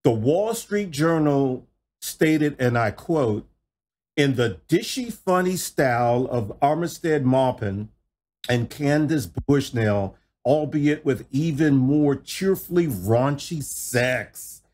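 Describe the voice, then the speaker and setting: A man's voice: mid-range voice, lively intonation, slow pace, a little mellow. A middle-aged man hosting a podcast interview, exuberant and folksy, repeating himself and calling his listeners y'all. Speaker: podcast host. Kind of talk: enthusiastic interview chat.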